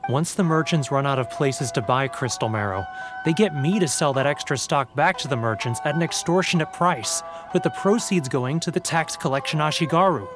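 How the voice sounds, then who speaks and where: An actor playing a homesick, guilt-ridden, weak-willed merchant in a video game, with a theatrical, anguished delivery. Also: male voice, on the high side, a little fast, over music, close to an everyday voice. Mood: confessing.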